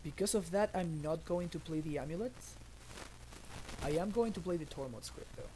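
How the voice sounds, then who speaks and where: A man's voice: on the high side, moderate pace, background noise. A man in his thirties from Argentina, streaming and commenting casually on his card game as he plays, thinking aloud with many fillers, on a headset in a small room.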